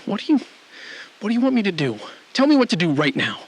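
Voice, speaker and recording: A man playing an anxious, guilt-ridden ground controller in an audio drama, halting and pleading, often trailing off mid-sentence. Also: high-pitched, sing-song, full character voice. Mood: alarmed. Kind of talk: scripted audio drama, dramatic phone-call acting.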